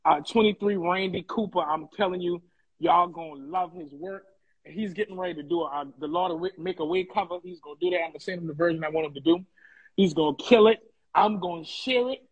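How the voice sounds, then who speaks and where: A man's voice: sits high, quick pace, breathy edge, narrow, tinny audio. A young American man chatting casually on a livestream into a phone held close, effusive and colloquial, often repeating himself to hype others up. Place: indoor room, live video stream.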